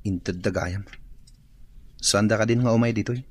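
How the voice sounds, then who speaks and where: An actor with an Ilocano Filipino accent playing a gruff, inquisitive employer laid up in hospital, in a radio drama. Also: male voice, on the low side, moderate pace, a little hiss or hum, lightly acted. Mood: disgruntled.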